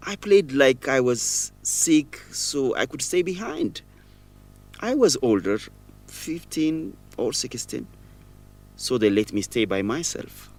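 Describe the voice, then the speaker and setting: An actor playing a guilt-ridden young man in an educational radio drama, speaking earnestly and haltingly with emotion. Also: mid-range voice, sing-song, darkish tone, full character voice. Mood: ashamed confessing. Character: guilt-ridden young man, former orphan, confessing a shameful secret.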